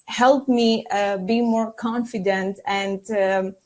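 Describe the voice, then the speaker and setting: A woman speaks slowly as a podcast guest. She pauses often and fills the gaps with small hesitations and restarts. Her voice sits at an ordinary middle pitch. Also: steady tone, a little mellow. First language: Greek. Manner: hesitant, many fillers and restarts. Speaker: podcast guest, former mentee. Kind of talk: unscripted podcast answer.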